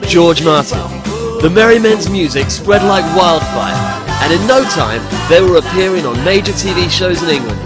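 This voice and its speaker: A man's voice: high-pitched, animated, moderate pace. Upbeat male documentary narrator over music. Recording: voice-over with loud band music behind.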